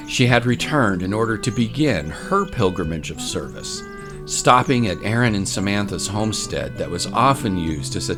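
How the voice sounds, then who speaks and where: A man with a low voice narrates a story in measured, literary phrases. Guitar music plays clearly behind the scripted narration.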